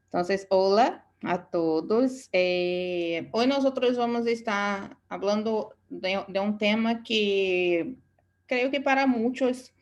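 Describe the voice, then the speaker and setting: A woman's voice: medium pitch, slow pace. A woman from Brazil with a Brazilian Portuguese accent, a native Portuguese speaker giving an online lecture as an aesthetics instructor and searching for words in her second language.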